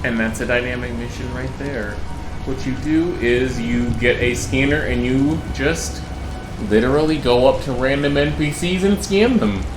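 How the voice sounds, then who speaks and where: A man's voice: medium pitch, normal speed. A man giving casual, chatty gameplay commentary with playful, rambling asides. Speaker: gamer, video host. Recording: roomy voice over loud music.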